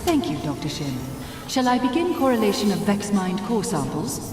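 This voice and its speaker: A woman voicing a polite archive computer in a game, formal and courteous. Her voice rings with heavy echo and long reverb, and moves in a lively lilt over music. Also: medium pitch, moderate pace, close to an everyday voice.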